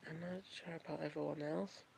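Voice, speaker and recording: A woman's voice: deep voice, steady tone, gravelly, background noise. A woman chatting casually on a livestream, often stopping and restarting her sentences. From Texas, USA. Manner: halting, repeats and restarts phrases often.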